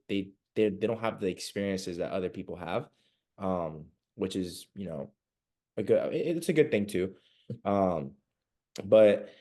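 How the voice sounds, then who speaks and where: A man's voice: fairly low pitch, darkish tone. A young man from Venezuela, a podcast guest chatting casually and rambling with lots of fillers. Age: young adult. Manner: rambling, many fillers and laughs. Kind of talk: casual interview chat.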